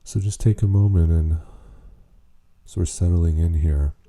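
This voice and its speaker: A man leading a guided meditation, speaking in an unhurried, gently questioning way with long pauses. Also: deep voice. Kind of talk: slow spoken guided meditation.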